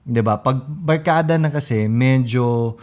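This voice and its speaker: A young Filipino man co-hosting a podcast, chatting casually in mixed English and Tagalog with a deep, big, commanding voice. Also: measured pace, darkish tone. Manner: commanding, direct, gestures a lot. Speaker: podcast co-host.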